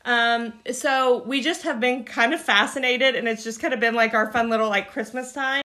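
A woman's voice: a little high, steady tone, normal speed, pushed and bright. A woman co-hosting a podcast, upbeat and casual as she leads the chat. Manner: upbeat, leads the run-through. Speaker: podcast co-host.

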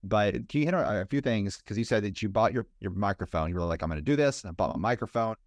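A man's voice: lowish voice, expressive, fast, no echo. A man hosting a casual interview in a conversational style. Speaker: podcast host, interviewer.

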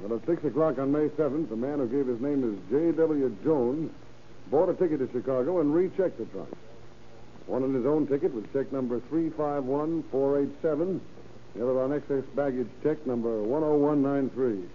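An actor playing a wry, plain-spoken police detective in an old radio crime drama. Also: male voice, medium pitch, moderate pace, lightly acted. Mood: businesslike. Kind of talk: 1930s radio acting. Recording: muffled, noisy old radio recording.

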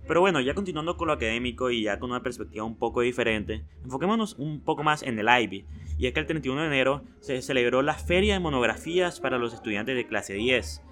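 Student podcast host, male, mid pitch, reads announcements plainly. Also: quick pace. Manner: reads news announcements, then a loud energetic intro. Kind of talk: school news podcast hosting.

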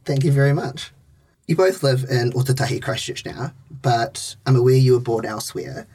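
A man asking measured questions as a podcast interviewer. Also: medium pitch, a little mellow, husky, recorded from a distance.

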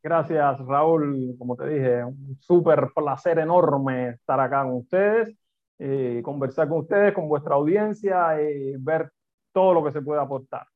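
A man's voice: on the high side, unhurried. Cuban man on a tinny remote line. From Cuba. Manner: animated, talks in repeated short phrases. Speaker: podcast guest, entrepreneur. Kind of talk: conversational interview.

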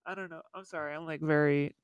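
A man's voice: on the high side, fairly even intonation, fast talker, smooth, no room sound, muffled recording. A man co-hosting a podcast in casual chat, congested and stuffy-nosed, rambling with lots of "like". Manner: rambling, self-deprecating, frequent "like". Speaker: podcast co-host.

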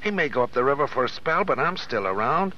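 An actor playing a scheming, two-timing American crook, slangy and insistent, in an old-time radio drama. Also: male voice, medium pitch, big pitch swings, quick pace, a little hiss or hum, close to an everyday voice. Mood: oily, pushy. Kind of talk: 1930s-40s American radio drama acting. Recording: dull old radio recording.

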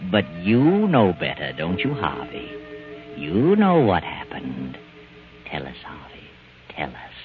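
Creepy mocking old radio mystery narrator, low slow voice, muffled sound with music. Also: male voice, huge pitch swings, heavily acted. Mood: taunting. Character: sinister, mocking, all-knowing mystery narrator. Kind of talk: 1940s radio suspense narration.

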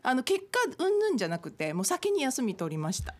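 A woman's voice: a little high, huge pitch swings, fairly quick. A woman chatting casually as a radio guest, speaking like an eager, gushing fan. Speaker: listener guest on a radio show. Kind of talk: casual chat.

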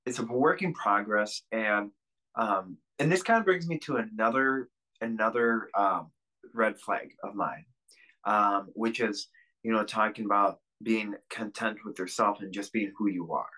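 Male podcast guest, lowish voice with a bright, forward edge, loose rambling delivery. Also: unhurried, rough recording. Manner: rambling, lots of fillers and restarts. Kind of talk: candid interview talk.

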